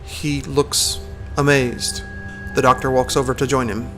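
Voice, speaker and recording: A man's voice: mid-range voice, level tone, a little slow, over music. A man giving scripted third-person narration for an audio drama.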